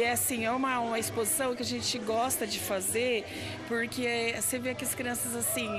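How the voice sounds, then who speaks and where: Gravelly-voiced woman educator, chatty. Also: mid-range voice. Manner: chatty, with many fillers. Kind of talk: interview answer.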